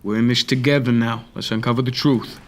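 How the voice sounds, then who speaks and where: A man's voice: mid-range voice, fairly even intonation, a little fast, heavy background noise, natural voice. A young man playing a tough, dry private detective with clipped delivery in a noir radio drama. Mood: firm, resolute. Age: young adult. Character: hard-boiled male private detective, tough, dry, determined. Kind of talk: 1940s radio noir acting.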